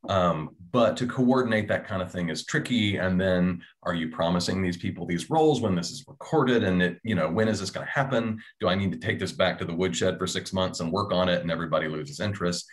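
Man, very low voice, thoughtful conversational delivery, panel discussion, video call. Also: fairly quick, few pauses. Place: small indoor room at home.

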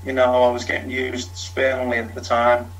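A man's voice: medium pitch, monotone, moderate pace, rough recording. Middle-aged man, Liverpool accent, frank storyteller, ex-footballer interviewee. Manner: frank, talks in long runs with fillers. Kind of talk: candid storytelling.

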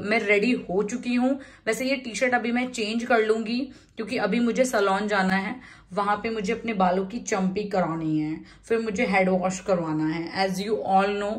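A woman's voice: mid-range voice, a bit bright. A woman in her thirties chatting casually to her phone camera as a vlogger, first at home and then in a street market, mixing English words into her talk. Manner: chatty, mixes English into Hindi, says "yaar" often. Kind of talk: casual vlog chat. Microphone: phone held in hand.

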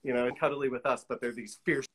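A man's voice: medium pitch, flat delivery, quick pace, slightly rough. A middle-aged man being interviewed casually on a video call, thinking aloud in loose, hesitant fragments. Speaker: guest, collage artist. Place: indoor room, on a video call.

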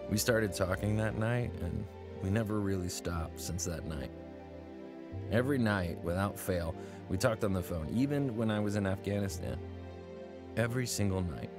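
Earnest man narrating over music. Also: low-pitched. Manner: earnest, reflective. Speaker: narrator and lead character. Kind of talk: scripted radio drama narration. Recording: studio drama over music. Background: music bed.

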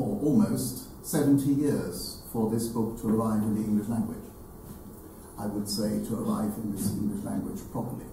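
A man's voice: medium pitch, warm tone. Older man lecturing in a very echoey room. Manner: measured, halting, erudite delivery with deliberate phrasing. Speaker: speaker introducing a literary event. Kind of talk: formal introductory lecture to an audience. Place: indoor salon hall with seated audience. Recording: echoey room, distant mic, faint noise.

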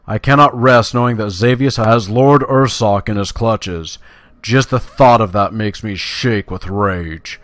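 A man's voice: lowish voice, measured pace, a bit bright, no echo. Man reading as an earnest fantasy druid warrior. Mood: solemn, vowing.

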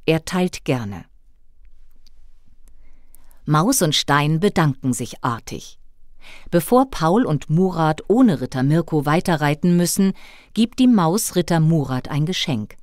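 A woman reading a scripted children's story as narrator, with expressive storytelling delivery. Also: sits low, no room sound, clean studio sound.

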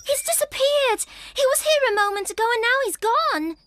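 A woman's voice: very high voice, animated, normal speed, fairly bright tone, a little hiss or hum. A woman voicing a sweet, fretful, childlike girl rabbit in a preschool cartoon. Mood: upset. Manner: plaintive, childlike. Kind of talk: preschool animated show dialogue.

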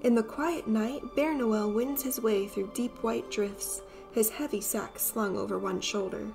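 Higher-pitched woman reading a storybook. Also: a little slow, gravelly, music in the background. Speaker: storyteller reading a picture book aloud. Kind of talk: expressive children's storybook narration.

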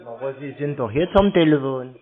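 A man making a casual phone call in a deadpan, laconic way. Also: mid-range voice, brisk. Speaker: prank-call scam baiter. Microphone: telephone. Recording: tinny narrowband phone line.

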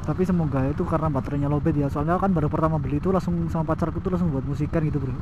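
A man's voice: a little high, fairly even intonation, racing, dull audio. A young man chatting casually and slangily in a motovlog, raising his voice as he rides. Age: young adult. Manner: slangy, raises voice over traffic. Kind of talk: casual riding vlog chat. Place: outdoors, riding a motorbike on city streets. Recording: loud motorcycle engine and traffic noise.

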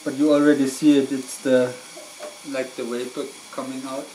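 A man's voice: medium pitch, flat delivery, normal speed, background noise. A man from Germany explaining, step by step and in a matter-of-fact way, how his homemade stove works, inside a small wooden hut. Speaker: hiker demonstrating a homemade stove. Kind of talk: casual explanation. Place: small wooden mountain shelter hut, indoors.

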